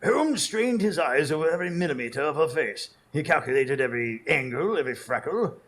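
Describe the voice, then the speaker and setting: A man's voice: on the high side, animated, a little fast, a bit bright. A man playing an earnest Victorian doctor who recounts adventures in a radio drama with literary, dramatic storytelling. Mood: solemn, suspenseful. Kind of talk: radio drama narration and acting.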